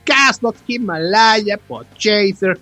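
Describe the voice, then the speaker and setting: A man's voice: high-pitched, animated, takes it slowly, sharp tone, no room sound. A man with a Mexican accent co-hosting a podcast in casual, slangy chat, emphatic and repeating words for stress. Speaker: podcast co-host. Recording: music behind the voice.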